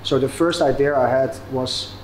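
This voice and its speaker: Man musing in a relaxed interview. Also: medium pitch, normal speed, a little hiss or hum, low-quality recording. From Netherlands. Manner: thoughtful, many fillers and restarts. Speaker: startup founder and guest. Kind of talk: casual interview answers.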